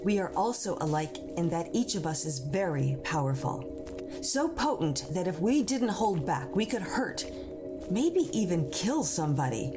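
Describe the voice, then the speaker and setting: A woman's voice: on the low side, big pitch swings, normal speed. Woman acting Luna, devoted moon spirit, music behind. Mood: calm, confiding. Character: Luna, powerful female moon being, devoted and coaxing. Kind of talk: audio drama acting. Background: music under the voice.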